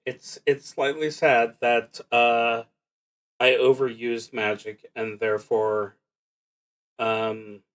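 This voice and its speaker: A man hosting a solo tabletop roleplaying stream, talking casually and haltingly as he thinks aloud, with long pauses. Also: medium pitch, little pitch movement, fairly bright tone.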